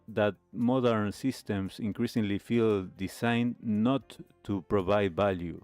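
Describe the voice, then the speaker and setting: A man's voice: fairly low pitch, a little mellow, no echo. A man hosting a talk show, giving reflective commentary. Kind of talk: reflective commentary on lifestyle videos.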